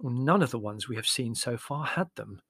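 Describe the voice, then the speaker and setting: A man's voice: medium pitch, animated, moderate pace, a bit bright, husky, no echo, clean studio sound. A man voicing a genteel English mother, polite and proper, firm yet a little flustered, in a storybook reading. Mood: politely firm.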